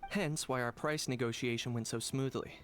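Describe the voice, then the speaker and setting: A man plays a mercenary negotiator in an anime game, medium in pitch and dryly businesslike, with faint music behind. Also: fairly even intonation, normal speed, fairly bright tone, lightly acted. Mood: dry, matter-of-fact. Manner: dry, businesslike. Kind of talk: anime video game voice acting.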